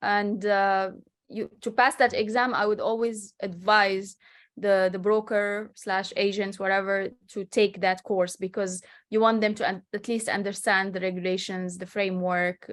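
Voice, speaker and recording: A woman's voice: medium pitch, little pitch movement, unhurried. Woman in her 30s, chatty, keeps restarting sentences, on a video call from home. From Morocco. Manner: chatty and explanatory, with frequent restarts. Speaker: guest real estate agent. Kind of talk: casual interview answers. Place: home with a city skyline behind.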